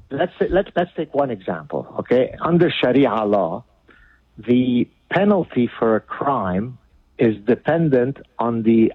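A man's voice: medium pitch, slightly rough, no echo. A man from Lebanon whose first language is Arabic, a guest author speaking bluntly and argumentatively in a radio interview over the phone. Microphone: phone line. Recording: tinny phone line.

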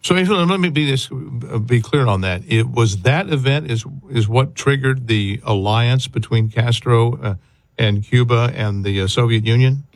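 A man hosting a conversational interview, halting and rephrasing his thoughts as he goes. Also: mid-range voice, no echo. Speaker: interviewer, host.

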